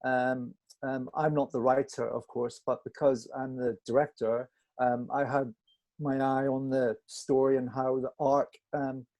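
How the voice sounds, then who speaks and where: A man answering as a director in a Q&A, halting and searching for his words in a self-effacing, conversational way. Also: mid-range voice, level tone, darkish tone. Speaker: film director interviewed on a panel. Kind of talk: conversational Q&A explanation.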